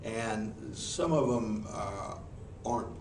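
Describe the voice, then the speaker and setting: A man's voice: on the low side, slow pace. Man, Southern accent, professional speaker, folksy, restarts, distant mic, roomy noisy recording. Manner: folksy and earnest, with frequent restarts. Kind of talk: answering interview questions before a group. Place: meeting room with an audience. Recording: echoey room, mic far off, noisy.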